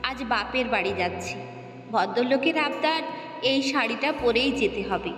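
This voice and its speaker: A woman's voice: a little high, normal speed, a bit bright, natural voice. A woman voicing a chatty, confiding middle-aged housewife in an audio story, sentimental and proud of her husband. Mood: bashful, fond. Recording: roomy long reverb, music behind.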